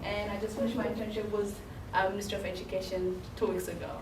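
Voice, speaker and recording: A woman's voice: mid-range voice, little pitch movement, fast. A young woman from Eritrea in her twenties introducing herself cheerfully to a group. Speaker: recent graduate, participant. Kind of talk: self-introduction. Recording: distant, echoey, loud background noise.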